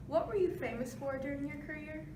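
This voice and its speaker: A woman's voice: sits high, a little fast. A woman working as interviewer in a scripted mock news interview. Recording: noisy, echoey room, distant mic.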